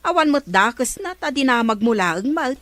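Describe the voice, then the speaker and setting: A woman's voice: sits high, very animated, normal speed, fairly bright tone, no echo. A woman acting a curious, gossip-hungry villager in an Ilocano comedy drama, with an Ilocano accent. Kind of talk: Ilocano radio-style comedy drama dialogue. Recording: faint music behind.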